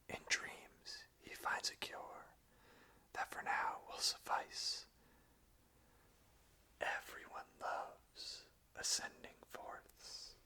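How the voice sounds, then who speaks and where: An ASMR host of unclear sex speaking softly and deliberately in a breathy, unvoiced whisper. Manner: soft, slow, deliberate. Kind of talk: whispered ASMR, slow reading and soft chat.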